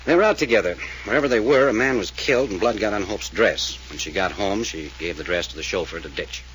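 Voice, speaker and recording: An actor playing a commanding, practical amateur detective in an old-time radio mystery serial, clipped, businesslike and insistent. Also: male voice, on the low side, fast talker, fairly bright tone, slight background noise, natural voice, poor audio. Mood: reasoning.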